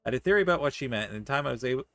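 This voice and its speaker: A man voicing a composed, formal alchemist from a fantasy game, in a measured, solemn manner, reading the dialogue aloud. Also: medium pitch, expressive, a bit bright, no room sound. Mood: composed, pleased. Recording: music behind the voice.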